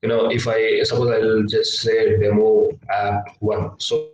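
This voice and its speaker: A young man teaching a live online coding lesson, hesitant with many filler sounds, his voice slightly low from a cough. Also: flat delivery, a little slow, darkish tone, slight background noise. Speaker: workshop instructor. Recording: echoey, distant laptop mic.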